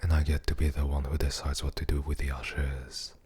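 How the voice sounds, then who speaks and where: A man playing a possessive, menacing, seductive mafia boss in an ASMR roleplay monologue, with a husky, breathy voice and a condescending, intimate murmur. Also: very deep voice, monotone, fairly quick, a little mellow, heavily acted.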